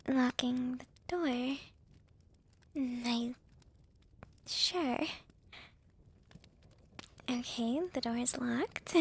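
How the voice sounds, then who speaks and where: Young female vampire with a crush, acted by a woman: higher-pitched, unhurried, whispery ASMR roleplay, soft, shy and playful. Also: full character voice. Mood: nervous, tender. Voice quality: breathy, whispery.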